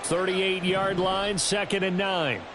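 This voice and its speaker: American football announcer over loud noise. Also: male voice, sits high, steady tone, slow pace. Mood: steady, informative. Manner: polished, punchy broadcast delivery. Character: upbeat male TV football play-by-play announcer. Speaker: play-by-play announcer. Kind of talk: football video game commentary.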